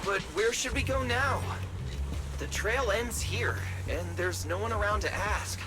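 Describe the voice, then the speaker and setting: An actor playing a boyish, excitable young adventurer in a video game, chatty and expressive. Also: male voice, high voice, unhurried. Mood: uncertain. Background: loud game music.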